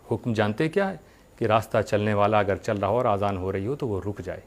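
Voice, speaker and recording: A man giving a religious sermon, speaking earnestly to his followers with rhetorical questions and deliberate pauses. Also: lowish voice, a little hiss or hum.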